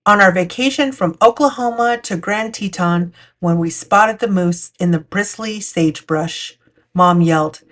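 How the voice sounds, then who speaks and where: A woman's voice: mid-range voice, a little slow, fairly bright tone. A woman narrating a picture book for children as a storytime host. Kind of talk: storytime read-aloud narration.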